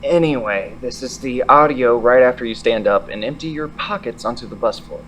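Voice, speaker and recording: A man's voice: mid-range voice, normal speed, fairly bright tone, a little hiss or hum, natural voice, low-quality recording. A man playing a level-headed, teasing audio-tinkering friend, matter-of-fact, in an audio drama. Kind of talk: naturalistic audio drama dialogue.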